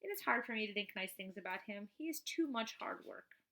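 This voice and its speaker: A woman reading a children's book aloud like a teacher, expressively, with chatty asides in an animated, emphatic manner. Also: medium pitch, brisk, gravelly, very noisy.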